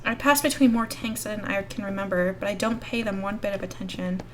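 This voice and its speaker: A woman's voice: mid-range voice, steady tone, a little fast, fairly bright tone, slight background noise, poor audio. A woman reading a story aloud expressively as the host of a gaming video. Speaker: YouTube host reading a visual novel aloud. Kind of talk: expressive read-aloud narration.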